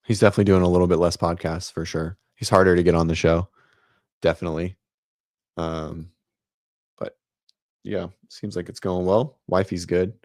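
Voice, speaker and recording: Male host, deep and raspy, loose rambling delivery full of fillers, dry home-office mic. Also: fairly even intonation, moderate pace, long pauses, a little mellow, dry recording. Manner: rambling, many ums and restarts. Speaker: host of a fitness livestream show. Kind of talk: casual livestream chat. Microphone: set-up studio mic.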